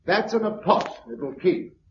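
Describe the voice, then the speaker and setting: An actor playing a wry, courteous British survivor in a radio drama, with a dry, urbane, teasing delivery. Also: male voice, high voice, lively intonation, unhurried. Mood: playful, courteous. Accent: British English. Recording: dull, noisy old radio recording.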